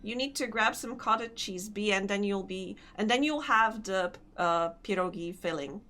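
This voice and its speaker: A woman's voice: mid-range voice, moderate pace, sharp tone. A young woman with a Polish accent chatting casually with her stream chat while gaming, laughing often and answering comments. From Poland.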